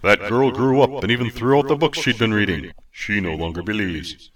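A man doing a booming tiny male god. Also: moderate pace, fairly bright tone, distant mic, close to an everyday voice. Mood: pleading. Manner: archaic, booming declamation. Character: tiny pompous male god, grandly formal.

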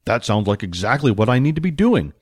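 A man's voice: mid-range voice, very animated, brisk, slightly rough, no echo, studio quality. A man playing a big, naive, good-natured young barbarian in an audiobook, speaking earnestly and simply. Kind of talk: audiobook character voice.

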